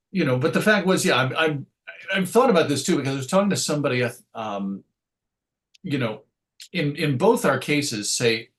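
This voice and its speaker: Thoughtful, anecdotal man in conversation. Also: medium pitch. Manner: thoughtful, anecdotal, illustrates points with quoted asides. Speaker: interview guest, radio show host. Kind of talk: conversational interview.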